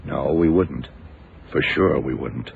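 Casting: laconic, deliberate radio-drama detective, bottom-of-the-register voice, dulled vintage sound. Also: male voice, a bass voice, gravelly, exaggerated voice. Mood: probing. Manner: deliberate, probing, questioning. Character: shrewd, laconic male detective puzzling out a murder. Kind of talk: 1960s-70s radio drama acting. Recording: muffled, noisy old radio recording.

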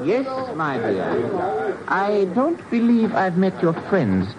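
Suave, menacing enemy lieutenant, swooping voice. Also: male voice, high voice, huge pitch swings, takes it slowly, highs cut off, a loud crowd, exaggerated voice. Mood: suavely menacing. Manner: mockingly courteous, smooth, formal. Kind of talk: 1950s radio drama acting. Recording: old noisy band-limited 1950s radio recording.

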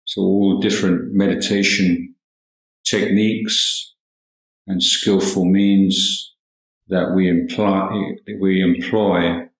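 A deep-voiced man speaks as a Buddhist meditation teacher in an echoing room. His delivery is calm and extremely unhurried, with long pauses between short, measured phrases. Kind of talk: guided meditation talk. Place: indoor room at a monastery. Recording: roomy, echoing hall sound.

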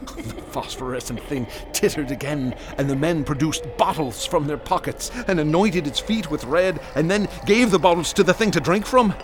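Music plays clearly behind a haunted man played by an actor in a horror radio play. His voice sits fairly high, and he recites his nightmarish visions in florid, theatrical language, intense and traumatized. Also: normal speed, natural voice. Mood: dread-filled awe. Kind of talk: radio drama horror monologue.

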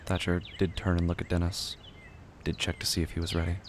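A man narrating a scripted horror audio drama in short, deliberate, clipped fragments. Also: low voice, monotone, slight background noise.

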